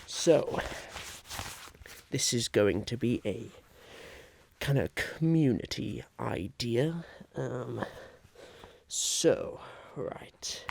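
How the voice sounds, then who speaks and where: A man playing a polite, harried would-be businessman chairing a meeting in a soft-spoken, halting ASMR roleplay. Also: medium pitch, expressive, vocal fry, full character voice. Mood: patient, bemused. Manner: hesitant, halting, politely patient.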